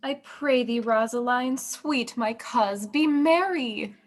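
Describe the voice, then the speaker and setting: A woman's voice: a little high, slow pace, faint music behind. A woman playing a young, loyal, witty princess in a Shakespearean radio play. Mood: coaxing, tender. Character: young princess, loyal, bright-witted cousin.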